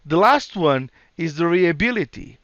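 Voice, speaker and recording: A man's voice: fairly high pitch, animated, a bit bright, no room sound, lo-fi sound. Portuguese-accented man presenting slides. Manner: earnest, halting with restarts. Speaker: solution architect presenting. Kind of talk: recorded conference talk over slides.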